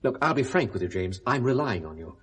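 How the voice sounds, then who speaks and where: A middle-aged man with a British accent acting an urbane, persuasive businessman in a 1970s radio drama, speaking briskly and frankly. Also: mid-range voice, sing-song, a bit bright, slightly rough. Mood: persuasive, pressing. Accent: British English.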